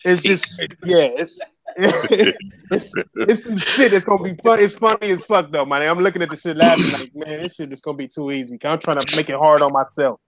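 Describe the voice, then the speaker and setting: A man's voice: a little high, steady tone, normal speed, fairly bright tone, slight background noise. A man co-hosting a podcast in casual gaming chat, laid-back and slangy, often stammering on his words. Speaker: podcast co-host.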